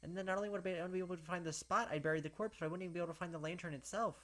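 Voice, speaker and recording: Bright-voiced young man reading a game. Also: high-pitched, rapid-fire. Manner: dramatic first-person reading broken by excited asides. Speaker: let's play gamer. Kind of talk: reading a visual novel aloud with commentary.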